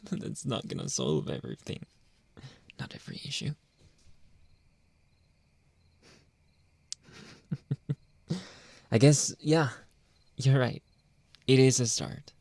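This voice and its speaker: Guy doing a clingy controlling boyfriend asmr, pleading n half whispery, lots of fry, super close dry sound. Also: medium pitch, very animated, darkish tone, no room sound, exaggerated voice. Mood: conceding, evasive. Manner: halting, pleading, breathy drops into whispers. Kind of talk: intimate ASMR boyfriend roleplay. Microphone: close, dry microphone.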